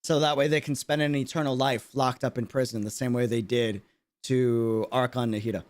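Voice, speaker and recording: A man's voice: medium pitch, steady tone, normal speed, dry recording. A man giving casual livestream game commentary, earnest and slangy, often repeating himself for emphasis. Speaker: streamer.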